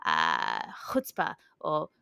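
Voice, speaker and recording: A woman's voice: rumbling low, huge pitch swings, slow pace, gravelly, sharp tone, clear, resonant tone, no room sound, clean studio sound. A woman hosting a comedy podcast, chatting in an articulate, wordy and wry manner. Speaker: podcast host. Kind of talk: comic panel chat.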